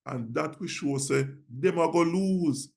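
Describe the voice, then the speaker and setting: A man's voice: on the high side, measured pace, vocal fry, darkish tone. A reverend with a Jamaican accent, a man reading scripture aloud in Patois. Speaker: reverend, guest scripture reader.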